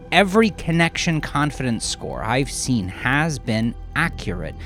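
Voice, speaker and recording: A man narrating a first-person horror story in a confessional, brooding, often hushed manner. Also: medium pitch. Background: music.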